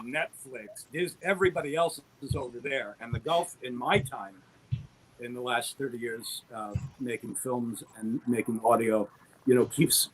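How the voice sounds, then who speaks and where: Enthusiastic middle-aged man over clearly noisy audio. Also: medium pitch, sharp tone. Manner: enthusiastic, rambling, self-deprecating storyteller. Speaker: guest, audio drama producer. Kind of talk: conversational interview talk.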